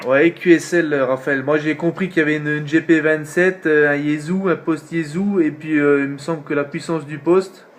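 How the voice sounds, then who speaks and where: A man's voice: a little high, steady tone, normal speed, few pauses, a little hiss or hum. A man from northeastern France, a radio operator chatting casually on air, often repeating himself and tagging his phrases. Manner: repetitive, with frequent tag words ending phrases. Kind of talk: casual radio contact chat.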